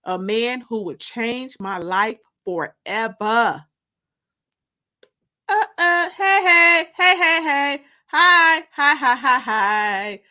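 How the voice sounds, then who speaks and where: An expressive, theatrical woman hosting story time, moving between dramatic narration and casual chat. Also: fairly high pitch, extremely slow. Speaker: author and host of live story time.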